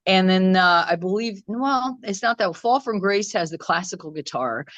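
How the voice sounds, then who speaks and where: A woman musician from Croatia, answering interview questions in a casual chat, thoughtful and rambling with many pauses and restarts. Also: medium pitch, lively intonation, a bit bright, dry recording, highs cut off. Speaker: musician guest. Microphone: video call.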